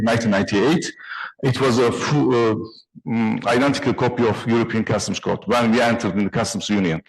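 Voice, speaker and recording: A man from Turkey with a Turkish accent giving a conference presentation, haltingly, often repeating and reworking his words. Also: medium pitch, rough recording. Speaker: conference presenter, trade consultant.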